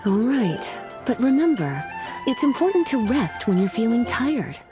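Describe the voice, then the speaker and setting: A woman voicing a gentle, caring young woman in a video game, tender and solicitous in how she speaks. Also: a little high, sing-song, normal speed, over music. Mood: tender, concerned. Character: gentle, caring young woman fussing over someone's wellbeing. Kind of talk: video game character dialogue.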